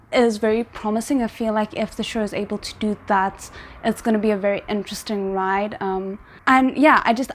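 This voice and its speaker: A woman hosting a reaction video, talking casually and thinking aloud in halting, repeated phrases. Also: medium pitch. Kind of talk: casual spoken reaction and commentary.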